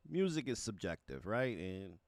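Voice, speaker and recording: A man hosting a music reaction stream, chatting casually in a halting, conversational way and often tagging phrases with a check for agreement. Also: lowish voice, big pitch swings, dry recording. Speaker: music reaction show host. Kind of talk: casual livestream chat with viewers about music.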